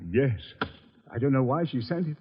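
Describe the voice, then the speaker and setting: An actor playing a desperate, defensive male murder suspect in a 1940s radio drama, husky and pleading. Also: medium pitch, normal speed, natural voice. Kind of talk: 1940s radio detective acting. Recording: muffled old radio recording, long reverb.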